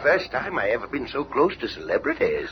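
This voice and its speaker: A man's voice: medium pitch, big pitch swings, brisk, highs cut off, lightly acted. An actor playing a gloating, theatrical arch-villain who poses as a folksy old man in a 1970s superhero radio drama. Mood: folksy, eager. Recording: very noisy, echoing old radio tape.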